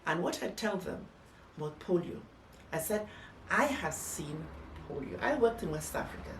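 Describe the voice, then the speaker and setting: An older woman recounts her memories in an interview with emphatic storytelling. Her voice is on the low side, caught from a little way off in an echoey room with faint noise. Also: animated, normal speed, distant mic. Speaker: interviewee, former nurse. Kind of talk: personal reminiscence in interview.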